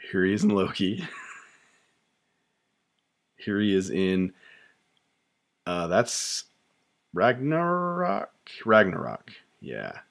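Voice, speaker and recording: Low-voiced man chatting on a live stream. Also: slow, gravelly, pauses often. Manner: relaxed, chatty, many fillers and restarts. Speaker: YouTube host reacting to a show. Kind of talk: casual live reaction chat.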